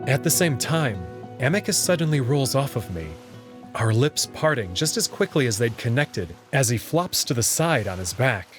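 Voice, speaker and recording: A man's voice: medium pitch, very animated, moderate pace, faint music behind. A man giving a dramatic first-person narration of a visual novel story. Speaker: narrator and reader.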